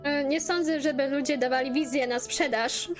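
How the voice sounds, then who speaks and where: A woman's voice: high-pitched, steady tone, brisk. A Polish woman doing casual let's play commentary, muttering asides to herself as she plays. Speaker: gaming YouTuber. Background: game music.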